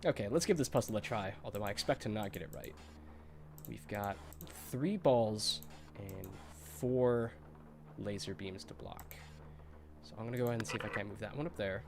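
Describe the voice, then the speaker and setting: A man's voice: lowish voice, moderate pace, slight background noise. A congested man with a cold giving chatty, nostalgic commentary while streaming a video game, laughing often.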